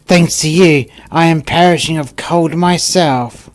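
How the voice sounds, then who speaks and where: A man's voice: on the high side, a little slow, close to an everyday voice. A man voicing a wretched, reproachful spendthrift in a storybook fable, complaining bitterly.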